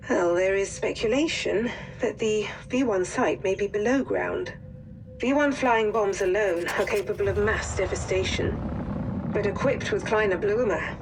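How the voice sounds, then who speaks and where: An actress playing a composed intelligence handler in a war game, delivering a formal, measured, serious mission briefing. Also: female voice, medium pitch, slight background noise, close to an everyday voice, rough recording.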